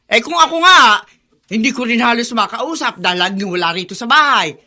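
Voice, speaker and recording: A woman's voice: on the high side, animated, fairly quick, pushed and bright, exaggerated voice. A woman playing a callous, brash, lying aunt in a Filipino radio drama, scolding and dismissive. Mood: irritated, dismissive.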